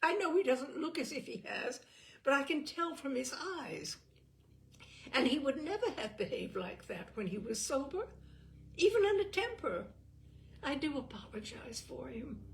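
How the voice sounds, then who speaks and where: A woman voicing a gentle, anxious young upper-class woman who apologizes for her brother. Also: sits high, expressive, unhurried, creaky, a little hiss or hum, voice pushed up, exaggerated voice. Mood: earnest.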